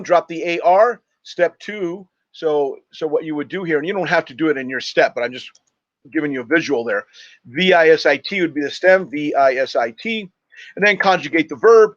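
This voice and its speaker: Guy teacher explaining stuff step by step on a screen share, voice a bit high, kinda dull lofi audio. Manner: instructive, spells out letters. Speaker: Spanish teacher.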